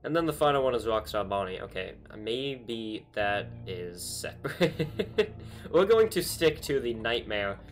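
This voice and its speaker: A man's voice: mid-range voice, big pitch swings, unhurried, soft background music. Chatty young man thinking aloud. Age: young adult. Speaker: YouTuber analyzing game dialogue. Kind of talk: casual commentary. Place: small bedroom with string lights.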